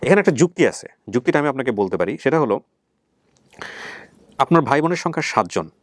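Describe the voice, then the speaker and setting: A man's voice: mid-range voice, sing-song, brisk. A man with a Bangladeshi accent hosting and interviewing conversationally, explaining things and probing with rephrased questions. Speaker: show host. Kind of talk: conversational interviewing on a family reunion show.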